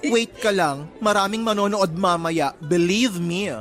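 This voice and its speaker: A man's voice: high-pitched, animated, takes it slowly, a bit bright, heavily acted. Over background music comes a flamboyant young Filipino college guy. He is sassy and cheeky, with campy, teasing delivery in a radio drama style. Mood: playful boasting. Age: young adult. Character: flamboyant, cheeky effeminate male college student. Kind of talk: Tagalog radio drama acting.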